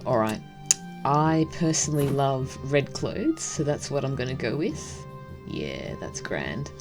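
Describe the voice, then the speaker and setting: A woman's voice: extremely low pitch, sing-song, takes it slowly, over music. A woman hosting a gaming video, casually commenting and reading the story text aloud. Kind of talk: casual game commentary and read-aloud narration.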